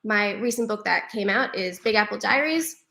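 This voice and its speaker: A woman's voice: mid-range voice, moderate pace, creaky, bright, forceful tone. A woman from New York City moderating a live online panel over a video call, upbeat and laughing often. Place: home living room.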